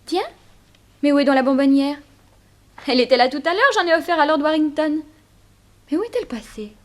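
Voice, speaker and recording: A woman's voice: sits high, expressive, a little fast. An actress playing a naive, adoring young society woman, gushing and girlish, in 1960s French radio drama style. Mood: fussing, fond.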